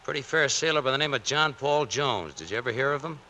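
A man playing a proud, by-the-book Navy sailor in a 1940s radio drama, crisp, formal and assertive. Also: mid-range voice, animated, quick pace, sharp tone. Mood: proud, defiant. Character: proud, by-the-book young Navy sailor standing his ground. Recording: old radio broadcast with faint noise.

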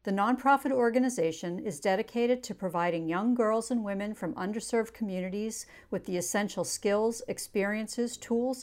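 A woman's voice: mid-range voice, darkish tone. A woman hosting a podcast, reading a scripted introduction and then chatting in an upbeat, welcoming way. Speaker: podcast host.

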